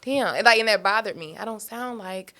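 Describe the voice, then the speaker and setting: A woman from Detroit, a guest singer-songwriter, chatting casually with relaxed restarts and fillers. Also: medium pitch, moderate pace. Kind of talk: casual chat.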